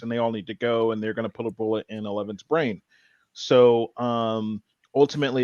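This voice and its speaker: A man's voice: medium pitch, no echo, lo-fi sound. A man chatting casually as a podcast panelist, recapping a story in an informal way.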